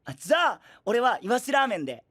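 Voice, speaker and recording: A man voicing a cheerful, carefree young traveler with a boisterous, naively confident manner in an animated audio drama. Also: very high voice, fast talker, dry recording, clean studio sound, full character voice. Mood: upbeat. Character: cheerful, food-loving, carefree young male traveling companion. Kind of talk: animated Japanese voice drama acting.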